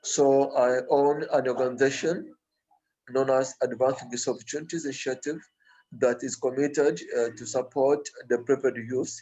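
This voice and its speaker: A man from Tanzania who runs a youth organization, speaking slowly and politely on a video call, halting as he gives formal thanks and asks a question. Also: mid-range voice, steady tone, mellow, poor audio.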